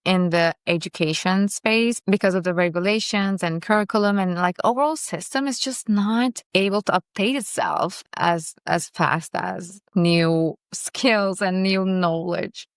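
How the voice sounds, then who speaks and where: A woman's voice: lowish voice, smooth, dry recording, studio quality. A young woman from Turkey, giving earnest, optimistic commentary as a vlogger reacting to a podcast. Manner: earnest, optimistic, speaks in short phrases with pauses. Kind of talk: casual video commentary.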